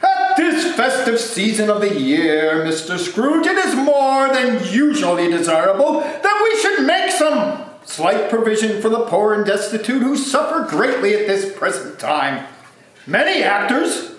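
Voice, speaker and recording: Portly, ingratiating gentleman collector acted by a man, florid and polite, strikingly high voice, very echoey room. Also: big pitch swings, measured pace, exaggerated voice. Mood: genteel pleading. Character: portly, genteel, ingratiating male charity collector. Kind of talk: amateur comic dramatic play reading. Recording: cavernous echoey room, faint background noise.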